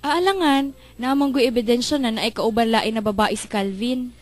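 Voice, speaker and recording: A woman's voice: fairly high pitch, normal speed, lightly acted. A woman with a Filipino accent playing an obsessive, possessive young woman in a melodramatic radio drama. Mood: guarded, casual. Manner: intense, swinging between casual and unhinged. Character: obsessive, possessive young woman hiding deadly jealous love. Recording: music under the voice.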